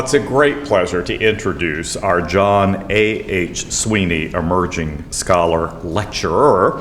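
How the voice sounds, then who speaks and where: A man's voice: sits low, a bit bright. An older man with a Southern US accent introducing a speaker at a lecture, formal but full of wry, self-deprecating asides. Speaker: event host introducing the speaker. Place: lecture hall. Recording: lecture hall reverb, faint noise.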